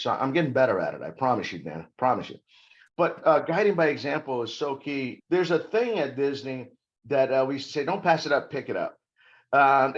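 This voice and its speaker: A middle-aged man from Brazil speaks in a fairly high voice, emphatic and a little preachy as he lays out his ideas. His voice comes through muffled, lo-fi call audio. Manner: emphatic, preachy, self-deprecating jokes. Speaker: corporate security guest. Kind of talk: podcast interview conversation.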